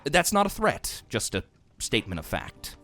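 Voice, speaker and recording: A man's voice: mid-range voice, wildly swooping pitch, moderate pace, bright, forceful tone, soft background music. A man playing a secretive, dry, affable innkeeper in a fantasy audio drama, with a hesitant, wry manner. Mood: dry, businesslike. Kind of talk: fantasy podcast acting.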